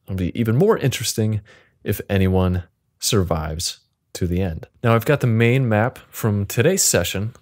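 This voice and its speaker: A man's voice: lowish voice, lively intonation, moderate pace, a little mellow, no echo, studio quality. A man casually narrating an interactive fiction game as its host, with a playful, joking manner.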